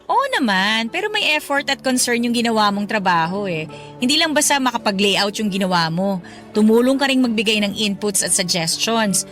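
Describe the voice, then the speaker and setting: A woman's voice: medium pitch, lively intonation, moderate pace, fairly bright tone, faint music behind, natural voice. A woman playing a kind, encouraging schoolteacher who talks in a friendly, reassuring way in a radio drama. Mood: friendly, grateful. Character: kind, encouraging female schoolteacher, tactfully curious.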